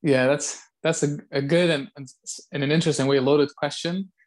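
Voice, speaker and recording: A man from Belgium speaking as an interview guest, casual and halting, often repeating words as he goes. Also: a little high, fairly even intonation. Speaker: interview guest, call center site director.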